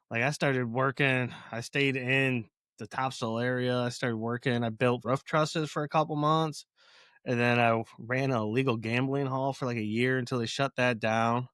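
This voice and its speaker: A man's voice: mid-range voice, a little slow, a bit bright, dry recording, clean studio sound. A man in his thirties from Ohio who co-hosts a podcast and tells stories bluntly, swearing and laughing easily. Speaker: podcast co-host, veteran. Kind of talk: casual chat.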